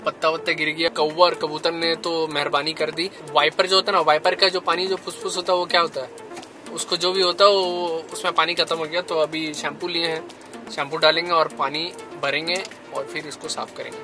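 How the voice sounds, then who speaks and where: A man's voice: fairly high pitch, little pitch movement, fairly quick, over music. A man in his thirties giving a chatty, upbeat vlog walkthrough, talking straight to viewers from a camera held at arm's length outdoors. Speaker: food vlogger. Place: outdoor rooftop terrace and parked car. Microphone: handheld camera mic at arm's length. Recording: noisy outdoor camera audio.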